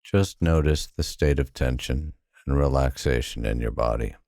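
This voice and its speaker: A man narrating a guided sleep hypnosis in a calm, soothing, unhurried manner with long pauses. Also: extremely low pitch, dry recording, clean studio sound.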